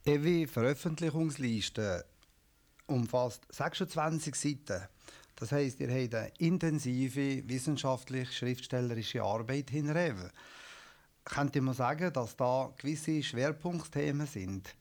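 A man's voice: mid-range voice, a little slow, a little mellow. A man, interviewing a guest on local radio in a formal, polite way.

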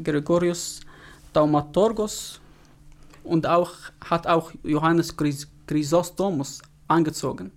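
A man whose first language is Arabic, answering interview questions in a halting way with frequent pauses and restarts. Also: on the high side. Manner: halting, many fillers and restarts. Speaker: interview guest.

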